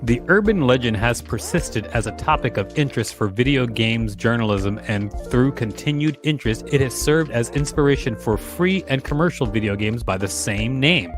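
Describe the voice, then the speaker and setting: A chatty man co-host reads an article aloud and slips in teasing asides. His voice sits at a middling pitch for a man. Faint music hums behind him. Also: lively intonation, normal speed, hardly any pauses. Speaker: podcast co-host reading articles. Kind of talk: reading aloud with banter.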